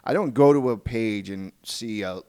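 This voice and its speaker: A man hosting a podcast in casual interview chat, halting and often restarting his phrases. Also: lowish voice, warm tone, clear, resonant tone. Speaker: podcast host. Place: podcast recording.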